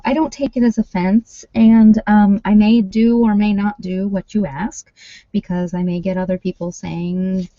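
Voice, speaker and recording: Woman just chatting to her online viewers, really mellow soft-edged voice, thinking out loud, bit muffled. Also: medium pitch. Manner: informal, rambling, thinking aloud. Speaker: ASMR creator hosting a live workshop session with tarot readings. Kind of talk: casual chat to a live online audience. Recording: muffled, roomy sound.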